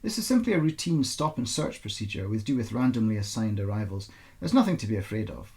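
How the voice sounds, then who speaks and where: Audiobook role: formal policewoman read by a man, businesslike, procedural, clipped, with some gravel in the voice. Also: lowish voice, big pitch swings, fast talker, darkish tone. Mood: calm, formal.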